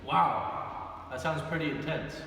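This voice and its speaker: A man's voice: a little high, slow, creaky, sharp tone. A young man playing an interviewer in a student video, asking his questions in an earnest, stiff manner. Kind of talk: scripted student role-play interview. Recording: echoey room, far from the mic, with noise.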